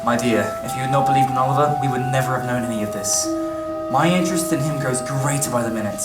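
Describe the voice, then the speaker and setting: Lady doing kindly proper old gent for a radio play, super low voice, recorded from way back, music under it. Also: quick pace. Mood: grave, resolved. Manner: formal, concerned, exclamatory. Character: earnest, kindly, well-to-do older gentleman. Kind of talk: staged radio play acting. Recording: echoey stage recording from far off.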